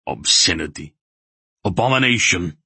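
A man's voice: low voice, big pitch swings, moderate pace, gravelly, sharp tone, husky, dry recording, exaggerated voice. A man voicing a fanatical zealot in a fantasy audiobook, muttering in a strangled, fervent way. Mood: disgusted, fervent.

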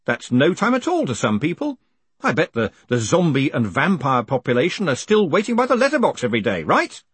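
A man's voice: on the high side, wildly swooping pitch, a little fast, fairly bright tone, breathy edge, no room sound, pitched above the performer's own voice, full character voice. A man voicing a weary, sceptical man in charge, dry and sardonic, in an audiobook. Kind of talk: audiobook character acting.

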